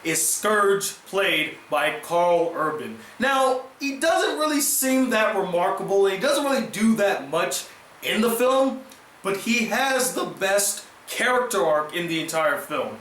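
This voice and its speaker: A man's voice: sits high, very animated, unhurried, fairly bright tone, roomy sound, a little hiss or hum, rough recording. A man with a sore throat, giving an earnest, opinionated scripted film review. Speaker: movie reviewer.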